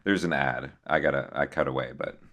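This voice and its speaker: A man giving casual reaction commentary as a host, musing aloud in a halting way. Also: a bass voice, a bit bright. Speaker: host reacting to horror videos.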